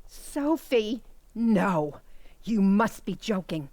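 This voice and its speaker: Woman as bossy sarcastic sister in radio comedy, medium pitch, emphatic, close dry recording. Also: sing-song, very slow, darkish tone, dry recording, heavily acted. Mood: dismayed. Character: bossy, sarcastic married sister, quick to scheme. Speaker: actor in community theater. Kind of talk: comic radio theater acting.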